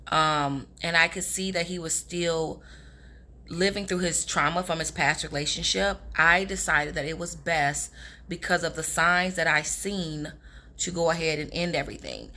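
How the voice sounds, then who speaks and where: A young woman with a raspy, hoarse voice, chatting casually to her viewers about relationships. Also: lowish voice, pushed and bright. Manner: chatty, confiding, addresses viewers as girls. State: hoarse from talking all day. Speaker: relationship advice vlogger. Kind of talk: casual advice talk to camera.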